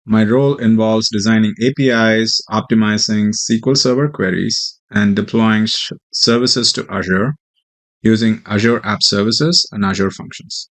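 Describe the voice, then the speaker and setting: Male tech presenter, lowish voice, explanatory, hesitant delivery with plenty of filler. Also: moderate pace. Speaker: software developer presenting a demo. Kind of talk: tech tutorial walkthrough and mock interview answers.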